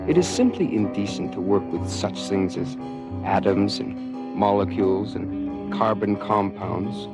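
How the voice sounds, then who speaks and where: A low voice over clear guitar music: a bitter young radical. He delivers his monologue deliberately, like a manifesto, in seventies radio drama style. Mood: solemn, bitter. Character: bitter young radical, doctor's anarchist son.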